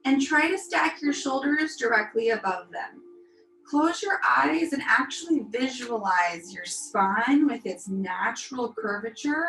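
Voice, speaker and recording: A woman teaching yoga, leading a guided meditation in a soothing, unhurried, gently instructive manner. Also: fairly high pitch. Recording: roomy echo, music behind. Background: music.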